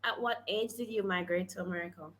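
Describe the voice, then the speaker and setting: A woman's voice: fairly low pitch, fast, fairly bright tone. A woman hosting an interview, asking questions in a conversational way and sometimes stumbling over words. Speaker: interview host.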